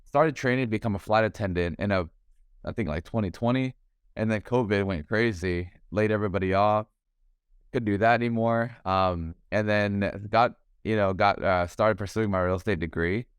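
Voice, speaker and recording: A young man with a low, smooth voice talks loosely and at length, dropping "man" and "bro" as he goes. He is a podcast guest on a video call from home. The sound is close and dry. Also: no echo. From Thailand. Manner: relaxed, talkative, calls the host bro and man. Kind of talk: casual interview chat.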